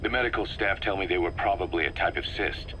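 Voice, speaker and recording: A man playing an analytical, wary military second-in-command in a video game, explaining things in a measured, methodical way. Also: deep voice, bright, forceful tone, a little hiss or hum, rough recording. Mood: grave, concerned. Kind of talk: scripted video game cutscene dialogue.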